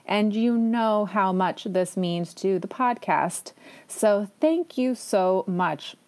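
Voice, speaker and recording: A woman's voice: medium pitch, lively intonation, takes it slowly, a little mellow, clean tone. A woman hosting her own podcast, talking in a chatty, enthusiastic way with self-deprecating asides. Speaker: podcast host. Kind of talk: casual solo podcast talk.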